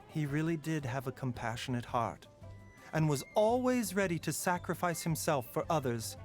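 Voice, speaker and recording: Soft-spoken gentle physician. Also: male voice, on the high side, expressive. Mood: calm. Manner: soft-spoken, measured, sighing. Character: gentle, caring male physician, middle-aged. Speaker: doctor. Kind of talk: game character voice lines. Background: faint music.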